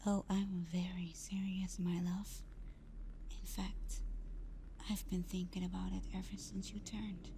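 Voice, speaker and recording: Woman doing a seductive vampire gf for asmr roleplay, real soft n breathy, super slow, mellow dark tone, close on the mic. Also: fairly low pitch, little pitch movement, slight background noise. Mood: tender, seductive. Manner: soft, breathy, half-whispered, unhurried.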